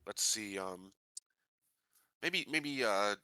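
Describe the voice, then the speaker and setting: A man's voice: on the low side, animated, drawn-out words, bright, forceful tone, smooth, no room sound, clean studio sound. A man playing a tabletop game, tentatively piecing his plans together in casual talk.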